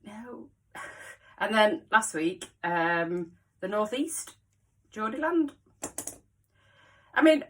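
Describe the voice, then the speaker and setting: A woman's voice: on the low side, fairly bright tone, recorded from a distance. A woman in her forties from the Wirral with a mild Scouse accent, chatting frankly to camera in a jokey way and laughing often. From the Wirral, England. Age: 40s. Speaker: local vlogger. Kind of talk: casual chatty monologue to camera.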